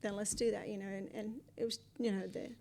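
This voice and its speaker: A woman's voice: medium pitch, level tone, a little fast, creaky. Middle-aged woman, pastor being interviewed, earnest casual talk, mid-range voice, faint background hum. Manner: warm and earnest, with frequent restarts and "you know". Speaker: pastor and guest.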